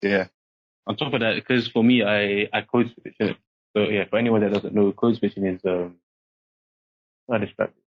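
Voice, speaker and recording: A man's voice: on the low side, fairly even intonation, normal speed, long pauses. A young man with a British accent, talking casually as an interview guest, rambling with lots of "like" and "innit". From Singapore. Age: young adult. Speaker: interview guest, army corporal. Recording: muffled lo-fi audio.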